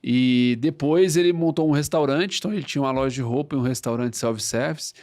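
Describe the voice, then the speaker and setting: A man's voice: mid-range voice, a little fast. Capixaba man telling his story. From Espírito Santo, Brazil. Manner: relaxed, rambling with fillers and "né?" tags. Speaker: guest, franchise founder. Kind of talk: casual interview storytelling.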